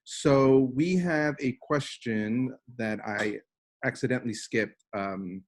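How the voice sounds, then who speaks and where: A man moderating a group video call, thanking a speaker and passing the floor along in a friendly, brisk way. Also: medium pitch. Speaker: call facilitator.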